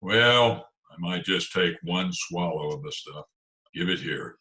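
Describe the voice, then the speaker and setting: Guy doing a grumpy school principal, lowish forceful voice, drags his words, echoey, old radio comedy. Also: animated, unhurried, exaggerated voice. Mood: grumpy, miserable. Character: grumpy, suffering middle-aged male school principal with hiccups. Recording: echoey room over remote call.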